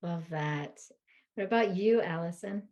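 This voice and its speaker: A woman's voice: on the low side, a little slow, muffled recording. A woman moderating a panel, affirming the speakers and passing the turn. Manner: warm, affirming, brief. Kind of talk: panel discussion.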